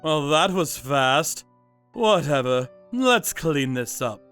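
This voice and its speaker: Manga dub vigilante played by a man: vengeful, contemptuous, slow deliberate delivery with wide swings, music underneath. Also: on the high side, big pitch swings, a bit bright, smooth, heavily acted. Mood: cold, contemptuous. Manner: menacing, mocking, contemptuous. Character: cold vengeful vigilante torturer, narrating his own revenge jobs. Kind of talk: first-person manga dub narration with acted dialogue.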